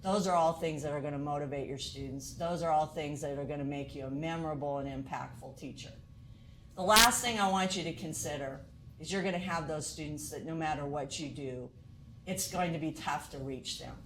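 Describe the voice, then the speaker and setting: A woman's voice: sits low, a little fast, fairly bright tone, a little hiss or hum, rough recording. A woman college instructor lecturing to teachers in an earnest way, asking many rhetorical questions.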